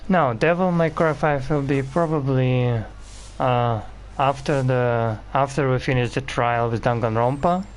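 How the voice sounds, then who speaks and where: A man's voice: mid-range voice, measured pace, slight background noise. A man giving casual game commentary as a streamer, blunt, profane and grumbling. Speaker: gaming streamer.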